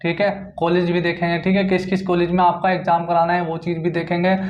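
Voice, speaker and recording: A man's voice: sits high, completely flat delivery, quick pace. A man informally explaining exam news to viewers, repeating points and often adding check-in tags. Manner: repetitive, punctuated with check-in tags. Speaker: exam-news presenter for job aspirants. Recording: dull lo-fi, roomy echo.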